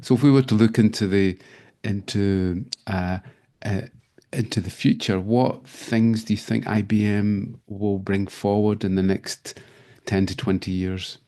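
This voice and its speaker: A man's voice: on the low side, steady tone, a little slow, dark tone. A middle-aged man hosting a conversational academic interview, talking discursively as he leads into his questions. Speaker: interviewer, podcast host.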